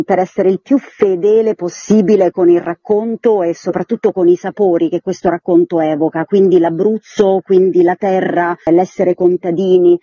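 A woman's voice: lowish voice, mellow, husky, dry recording, muffled recording. A woman, explaining her work emphatically and enthusiastically in a radio interview. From Abruzzo, Italy. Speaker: interviewed theatre co-director.

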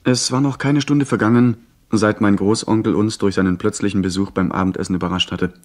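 An actor playing an earnest young gentleman who narrates his adventure in a measured, literary way for a radio play. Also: fairly low pitch, steady tone, lightly acted. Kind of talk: scripted first-person radio play narration.

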